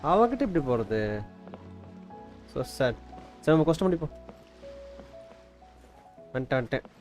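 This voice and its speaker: A man's voice: on the high side, big pitch swings, very fast, a little mellow, soft background music. A man with a Tamil accent giving casual live commentary as a game streamer.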